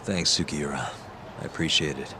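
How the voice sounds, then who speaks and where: An actor playing a stern, controlled interrogator in a video game, terse and probing. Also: male voice, rumbling low, steady tone, normal speed, background noise. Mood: weary, subdued. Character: stern, controlled male interrogator pressing for truth. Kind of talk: video game cutscene acting. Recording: music under dialogue. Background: clear music.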